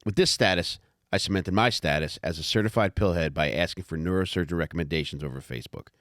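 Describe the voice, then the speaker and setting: A man narrating a comic memoir audiobook in a wry, measured, profane way. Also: low-pitched, fairly bright tone, no room sound, clean studio sound.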